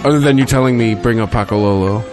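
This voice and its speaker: A man with a lowish voice trades quick, wisecracking asides in a relaxed chat. Music plays clearly underneath him, and his tone stays loose and joking. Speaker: podcast co-host. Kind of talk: casual chat. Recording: talk over a song playing.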